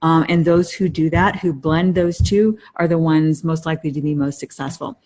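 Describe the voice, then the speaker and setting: A woman's voice: deep voice, normal speed, a little mellow. A woman co-hosting a podcast, explaining things casually in a wordy way and rephrasing herself as she goes. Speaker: podcast co-host.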